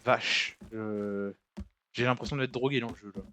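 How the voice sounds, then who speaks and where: A man's voice: mid-range voice, steady tone, unhurried, heavy background noise. Young man, casual slangy gamer. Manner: slangy, informal. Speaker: gamer playing with friends. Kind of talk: casual chat during co-op gameplay.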